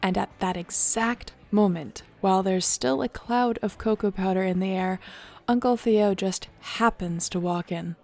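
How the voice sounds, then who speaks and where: Woman acting as young shifter clan leader, casual n sincere, bit of vocal fry, soft music behind, audio roleplay. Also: medium pitch, a little slow. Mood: amused, reminiscing. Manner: casual, sincere, conversational storytelling. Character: warm young female shapeshifter, newly made clan leader.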